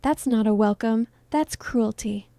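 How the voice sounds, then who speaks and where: Protective, commanding teenage boy for an audio story, read by a woman: quiet, firm, mellow. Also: a little high, measured pace, gravelly, dry recording.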